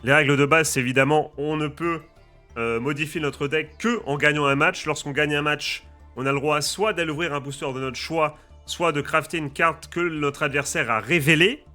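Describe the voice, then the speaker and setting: A man with a slight head cold, casually explaining a game challenge's rules to his viewers in a lively, chatty way, with faint music playing behind him. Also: a little high, normal speed. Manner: lively, addresses viewers as friends and guys. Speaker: gaming YouTuber and streamer.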